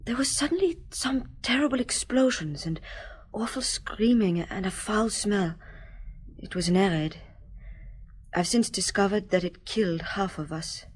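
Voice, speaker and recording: An actress playing a distraught wife in a radio drama, emotional and pleading. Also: female voice, mid-range voice, a little slow, close to an everyday voice. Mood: reflective. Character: distraught grown woman, wife of suspect.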